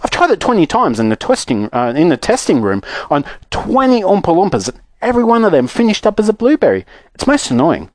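An eccentric storybook candy inventor played by a narrator, fretful and apologetic. He talks in a raised, lively, sighing voice that rises and falls fussily, recorded close and dry. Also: mid-range voice, fairly quick, few pauses, no room sound, voice pushed up. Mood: fussy disappointment. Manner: sighing, fussy.

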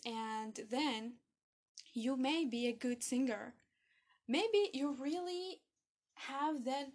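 A high-pitched young woman whose first language is Arabic talks slowly and earnestly, urging listeners to find what they enjoy. She halts often, repeating words and restarting her phrases as she searches for them. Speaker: motivational speaker. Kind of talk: motivational monologue to camera.

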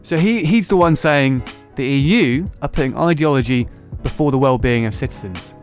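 A man's voice: medium pitch, expressive, moderate pace, soft background music. A man hosting a casual political podcast, sarcastic and mocking. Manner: sarcastic, mocking voices of others. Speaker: podcast host.

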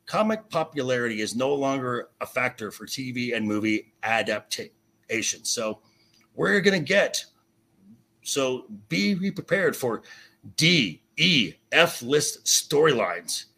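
Raspy, forceful man arguing his point. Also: medium pitch, very animated. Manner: insistent, halting, repeats himself. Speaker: podcast guest. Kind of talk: heated opinionated film and TV discussion.

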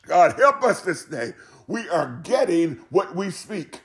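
Male preacher, very high-pitched and lively in range, fervent exclamatory sermon with emphatic repetition. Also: takes it slowly, background noise. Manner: exclamatory, repeats phrases emphatically for effect. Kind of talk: fervent Pentecostal-style sermon.

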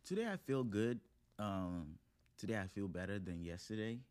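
Deep-voiced, earnest man in his thirties. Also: lively intonation, moderate pace, a little mellow. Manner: earnest, builds points in short phrases. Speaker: podcast guest. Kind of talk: casual, motivational conversation.

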